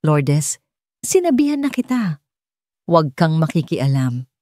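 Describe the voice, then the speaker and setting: Woman acting villainous rich wife, audio drama, cold, vengeful, deliberate menace, sneering, close dry sound. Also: deep voice, big pitch swings, warm tone, no echo. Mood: suspicious, threatening.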